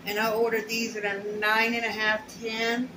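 A woman's voice: fairly high pitch, completely flat delivery, moderate pace. A middle-aged woman chatting casually and plainly to camera, rambling in short bursts as she shows her shopping. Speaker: shopping haul vlogger. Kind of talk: casual unboxing chat to camera. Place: small plain indoor room at home. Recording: roomy echo, noisy home recording.

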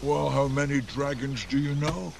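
An actor voicing a wise, gentle male dragon who talks in a mildly teasing, chuckling way. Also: mid-range voice, steady tone, normal speed, gravelly, heavy background noise, lightly acted. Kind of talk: film acting.

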